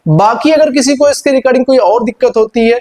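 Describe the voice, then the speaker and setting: A man's voice: very high-pitched, normal speed, dark tone. A man with an Indian accent hosting a phone tutorial in an explanatory way, mixing in English words. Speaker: tech tutorial host.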